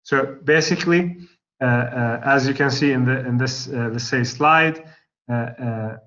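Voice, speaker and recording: A male lecturer with an Arabic accent, losing his voice, teaching a class in a halting way with many pauses and restarts. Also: medium pitch, fairly bright tone. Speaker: university lecturer. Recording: slightly dull lo-fi recording.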